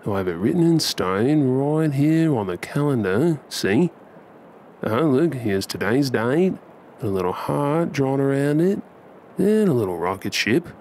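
A man's voice: medium pitch, very animated, measured pace, darkish tone, slight background noise. A man with an Australian accent playing a tender, playful husband and dad in an intimate ASMR role play, affectionate and coaxing. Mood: playful, teasing.

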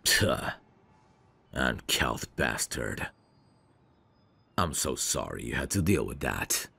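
Man playing very deep, gravelly suave mafia heir. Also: a bit bright, exaggerated voice. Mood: gentle, flirtatious. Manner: smooth-talking, courtly, flirtatious. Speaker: voice actor. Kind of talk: ASMR roleplay, intimate one-sided dialogue.

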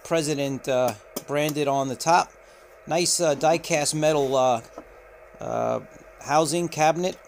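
A man walking through a radio unboxing, talking unhurriedly with frequent filler pauses, recorded indoors at a table on the camera's mic. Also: on the high side, gravelly, a little hiss or hum. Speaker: radio reviewer. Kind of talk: unboxing walkthrough.

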